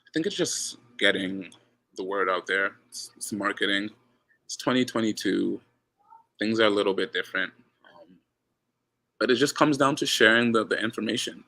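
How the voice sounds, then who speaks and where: Gravelly-voiced man chatting in an interview. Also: on the low side, moderate pace, pauses often. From Ghana. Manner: relaxed, repeats words, says he has a loud voice. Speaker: basketball coach, guest. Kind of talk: casual interview chat.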